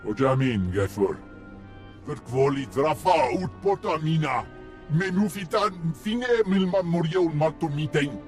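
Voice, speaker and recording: A man's voice: fairly high pitch, expressive, unhurried, soft background music. A man voicing an alien pilgrim in a video game, declaiming in an invented tongue. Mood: solemn.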